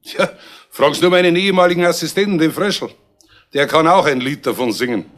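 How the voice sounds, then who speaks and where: A man's voice: a little high, quick pace, fairly bright tone, lightly acted. A man playing a shrewd, grumbling police inspector in a radio crime play, folksy and dry, with a Bavarian accent. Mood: ingratiating, aggrieved. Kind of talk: 1970s German radio play acting.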